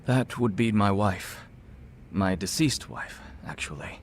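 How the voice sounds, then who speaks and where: Low-voiced, polished male executive. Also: a little hiss or hum. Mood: somber, measured. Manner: measured, formal, articulate. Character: polished, courteous male CEO and grieving widower. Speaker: company executive, client. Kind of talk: English-dubbed video game drama dialogue.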